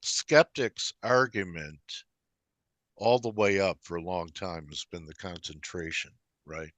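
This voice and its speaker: A man's voice: low voice, unhurried, fairly bright tone, no room sound. A man hosting a conversational financial interview, putting questions and his own views to a guest. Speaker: interviewer, host.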